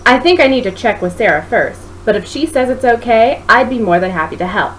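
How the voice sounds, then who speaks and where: A woman reads as an easygoing man from a romance story. He is playful and gentle with children. There is noise behind the voice. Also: on the high side, lively intonation, fairly quick. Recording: noisy home recording.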